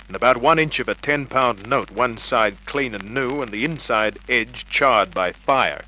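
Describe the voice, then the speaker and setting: A tough Australian private eye in a vintage radio drama. His voice is forceful and mid-pitched, clipped and wry as he narrates. The old recording carries a steady noise. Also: unhurried, poor audio. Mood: terse, grim. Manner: clipped, hard-boiled, matter-of-fact. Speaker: lead actor and narrator. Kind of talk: 1950s radio detective drama acting.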